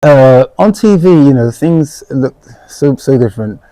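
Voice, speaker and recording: Mellow guy telling his life story, kinda halting, repeats words, pretty dry recording. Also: mid-range voice, animated, dry recording, rough recording. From born in Dominica, raised in England from age four. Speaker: local resident interviewee. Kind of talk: personal reminiscence in an interview.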